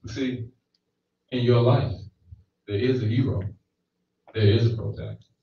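A man's voice: mid-range voice, normal speed, slight background noise. A man in his thirties giving a sermon in a small church hall, holding a handheld mic and often checking in with "right?". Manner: conversational preaching with frequent "right?" checks. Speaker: preacher. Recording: echoey, distant, slightly dull room recording.

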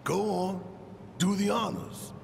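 An actor playing a big, brash, hot-tempered rebel leader in a video game, gruff, barking and taunting. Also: male voice, sits high, level tone, takes it slowly, long reverb tail, a little hiss or hum, full character voice. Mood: taunting challenge. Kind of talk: dramatic video game acting.

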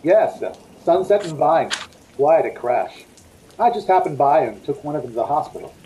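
A man playing a hesitant, evasive doctor with halting speech in an old-time radio drama. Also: fairly high pitch, lively intonation, warm tone, lightly acted. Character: hesitant, evasive male doctor, secretly sinister. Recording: dull sound with faint noise. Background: rain.

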